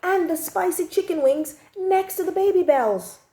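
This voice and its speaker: A woman voicing an excitable, indignant little girl from a storybook, with emphatic, exaggerated phrasing. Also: very high-pitched, normal speed, voice pushed up. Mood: indignant, exasperated. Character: excitable, indignant little girl telling her own troubles. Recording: echoey room.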